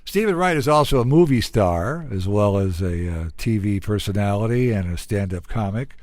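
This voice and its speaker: A man's voice: fairly low pitch, expressive, moderate pace, darkish tone, no echo. An American man hosting a casual comedy interview, talkative and joking. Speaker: interviewer and host.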